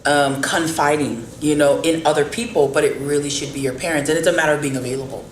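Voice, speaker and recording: A low-pitched woman hosts a faith podcast, chatting earnestly and encouraging her listeners. The recording sounds roomy, with a faint hiss behind her. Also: fairly even intonation, fast talker. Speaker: podcast host. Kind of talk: casual interview chat. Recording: roomy with faint background noise.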